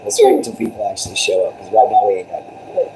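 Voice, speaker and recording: Man, booming voice, laid-back rambling livestream chatter, phone mic, faint hiss. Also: huge pitch swings, rapid-fire, warm tone. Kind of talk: casual live stream chat. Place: home room with kids and dogs. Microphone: phone propped on a table.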